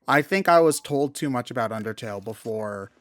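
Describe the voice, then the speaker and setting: A man's voice: mid-range voice, lively intonation, brisk, a bit bright, no room sound. A man commentating on games in a casual, conversational style. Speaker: game commentator. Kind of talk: casual game commentary chat.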